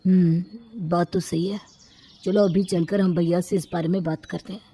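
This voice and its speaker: A woman voicing a concerned younger brother in a storybook tale, earnest and gently coaxing. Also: flat delivery, moderate pace, husky. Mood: worried, thoughtful. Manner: earnest, coaxing, low-set voice.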